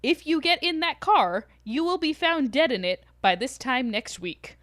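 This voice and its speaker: Irreverent woman podcaster, higher voice, close dry sound. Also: very animated, moderate pace, fairly bright tone, no room sound. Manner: irreverent, sweary, jokey. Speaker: podcast host. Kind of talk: casual storytelling chat.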